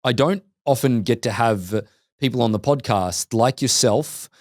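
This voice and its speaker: A man hosting a podcast interview, eager and quick, often repeating words as he starts a sentence. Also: medium pitch, a little mellow, dry recording, studio quality. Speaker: podcast host.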